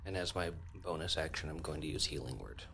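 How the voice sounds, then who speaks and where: A man's voice: very deep voice, quick pace, creaky, a bit bright, a little hiss or hum. A man playing a tabletop game, talking casually as he takes his turns.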